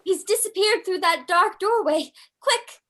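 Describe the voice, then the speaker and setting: An actress playing a frightened, earnest young daughter in an old-time radio horror drama, with melodramatic delivery. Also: female voice, very high-pitched, unhurried, a bit bright. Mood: alarmed.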